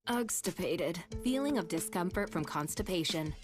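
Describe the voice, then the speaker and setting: A woman's voice: fairly low pitch, lively intonation, moderate pace. Female commercial voice-over with music. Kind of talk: scripted health product advertisement. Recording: music under the voice.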